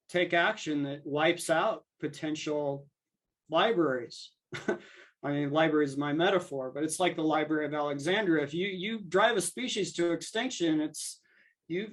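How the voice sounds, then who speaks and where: Man, slightly high voice, interview guest, conversational, discursive, reasoning out loud. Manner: discursive, argues through imagined dialogue. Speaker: podcast guest. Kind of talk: conversational interview, thinking aloud.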